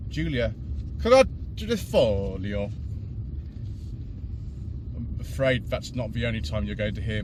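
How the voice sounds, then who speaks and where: A man hosting a casual car review while driving, chatty and self-deprecating, explaining specs with plenty of fillers, recorded on a camera mounted inside the car. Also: on the low side. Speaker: car reviewer, channel host. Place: inside a car on a test track. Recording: loud car and road noise. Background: engine and road noise.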